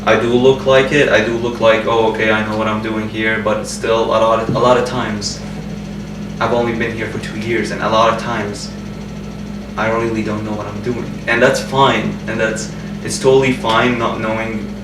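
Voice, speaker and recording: A man's voice: fairly low pitch, little pitch movement, normal speed. A man in his twenties from Jordan, speaking in a personal interview in a hesitant way with many restarts and fillers. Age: 20s. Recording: roomy sound from a distant mic with background hum.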